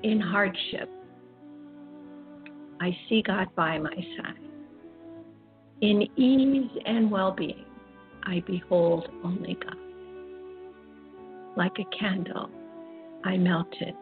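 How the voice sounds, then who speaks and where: Slow-speaking older woman, phone-like sound. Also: medium pitch. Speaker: host of an online spiritual talk radio program. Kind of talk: reflective, informal monologue. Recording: dull, phone-like internet radio audio. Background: music.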